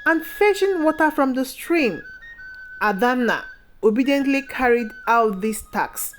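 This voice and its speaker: A woman narrating a scripted folktale in a deliberate, phrase-by-phrase storytelling manner. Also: a little high, low-quality recording. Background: background music.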